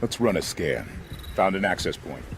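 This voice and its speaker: Deep, composed military squad leader. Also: male voice, big pitch swings, moderate pace, heavy background noise. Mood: composed, firm. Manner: clipped, commanding, formally polite. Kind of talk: video game cutscene acting.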